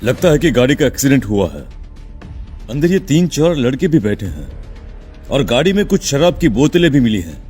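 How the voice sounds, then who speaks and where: A man's voice: mid-range voice, sing-song, normal speed, darkish tone, faint music behind, lightly acted. A man playing a serious, pondering policeman on an investigation, in cartoon dialogue. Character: investigating policeman, matter-of-fact and concerned.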